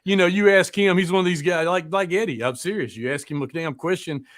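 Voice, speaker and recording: A man's voice: high voice, brisk, a bit bright. A man hosting a casual live chat in a rambling, joking manner with plenty of swearing. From American South. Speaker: podcast host.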